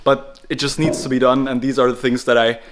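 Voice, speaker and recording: A man's voice: medium pitch, level tone, brisk, a little hiss or hum. Chatty German-accented man vlogging. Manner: chatty, laughs at himself. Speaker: car build vlogger. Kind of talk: casual vlog narration. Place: car workshop.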